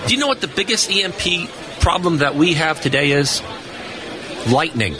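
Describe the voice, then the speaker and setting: A man's voice: fairly high pitch, animated, moderate pace. An older man co-hosting a live radio show, telling jokey stories and explaining radio lore in a casual chat. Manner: jokey storyteller. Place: convention floor booth. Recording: noisy live convention floor.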